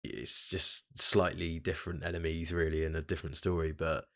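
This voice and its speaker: Male games podcaster, British, very low voice, mildly croaky, groggy, informal chat. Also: flat delivery, moderate pace. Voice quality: slightly croaky. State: tired and groggy after a night out. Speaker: podcast host. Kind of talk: casual chat about video games.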